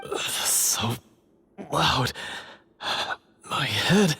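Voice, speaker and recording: An actor playing an exhausted, earnest young hunter in an anime game, halting and solemn. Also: male voice, mid-range voice, animated, loud music behind the voice. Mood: groggy, pained.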